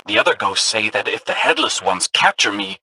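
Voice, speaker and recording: A man voicing an excitable robot ghost companion in a video game, eager and theatrical as he tells spooky legends. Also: on the low side, a little fast, creaky, background noise, full character voice. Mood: spooky storytelling.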